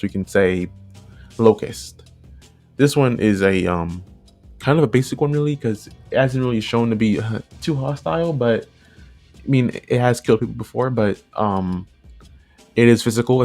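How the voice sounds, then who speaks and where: A man's voice: fairly low pitch, darkish tone. A man giving casual, unscripted commentary, rambling along and often repeating and rephrasing himself. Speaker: video creator, narrator.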